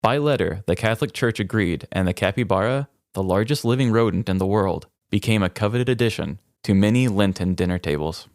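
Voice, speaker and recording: A man's voice: on the low side, brisk, hardly any pauses, darkish tone, no echo, studio quality. A man co-hosting a podcast, chatting casually and reading out fun facts with a playful, wry, cheeky manner. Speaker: podcast co-host. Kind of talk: casual comedic chat with read-out fun facts.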